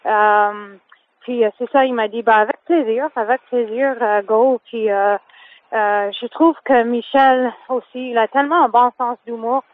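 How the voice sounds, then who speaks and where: A woman's voice: a little high, steady tone, measured pace. A woman singer whose first language is English, speaking French in a radio interview, hesitant and full of fillers and restarts. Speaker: singer guest. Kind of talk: phone interview. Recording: tinny phone line.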